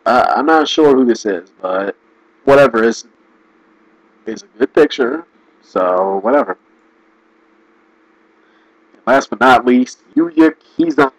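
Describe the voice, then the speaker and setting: A man giving casual, rambling commentary, restarting sentences and laughing often, his voice going hoarse. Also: medium pitch, very animated, slow, pauses often, no room sound, rough recording. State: losing his voice. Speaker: video commentator.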